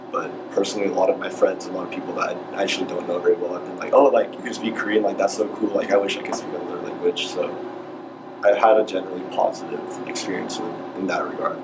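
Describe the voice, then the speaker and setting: A young man giving a loose, rambling personal reflection with lots of fillers. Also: sits low. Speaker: participant. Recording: far from the mic, noisy.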